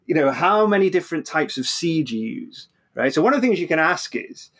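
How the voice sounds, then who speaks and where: A man's voice: fairly high pitch, animated, brisk, fairly bright tone. A man explaining science informally as an interview guest, often repeating words and restarting, checking in with "right?".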